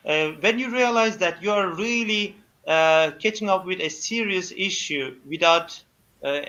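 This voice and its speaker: A man hosting a live practice stream, speaking haltingly with many fillers as he welcomes and questions a guest. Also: high-pitched, sharp tone. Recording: dull lo-fi stream audio.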